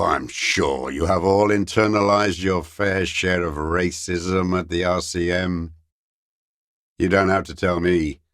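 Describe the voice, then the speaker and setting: Bitter, very deep-voiced old deserter. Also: male voice, fairly bright tone, no echo, clean studio sound, heavily acted. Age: older. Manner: contemptuous, ranting, brooding. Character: bitter old deserter, die-hard communist soldier, male. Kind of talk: video game character dialogue.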